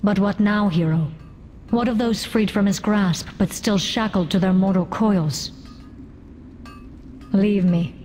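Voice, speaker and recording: An actress playing a solemn, brooding woman in a fantasy game cutscene, speaking gravely and deliberately. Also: medium pitch, darkish tone, close to an everyday voice. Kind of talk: dramatic game cutscene acting. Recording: long cavernous reverb, background noise.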